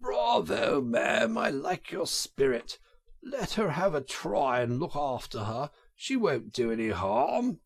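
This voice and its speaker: A man voicing a kindly, fussing gentleman motorist in a storybook reading, with a solicitous, genteel manner. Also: a little high, big pitch swings, slow, vocal fry, husky, pitched above the performer's own voice, heavily acted. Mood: cheerful.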